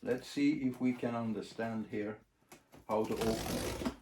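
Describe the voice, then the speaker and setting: Slow-talking man, halting and unscripted. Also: lowish voice, flat delivery. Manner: halting, thinking aloud in short phrases. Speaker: record collector presenting a demonstration. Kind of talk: casual unscripted demonstration. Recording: clear background noise, clicks.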